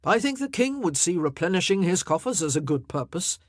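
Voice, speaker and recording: A woman's voice: on the low side, sing-song, fairly quick, dry recording. A woman voicing a zealous male courtier who speaks in a dry, scornful way.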